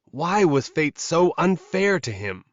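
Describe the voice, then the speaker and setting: A man's voice: a little high, sing-song, slow pace, dry recording, lo-fi sound. A man narrating a scripted, dramatic fantasy romance audiobook.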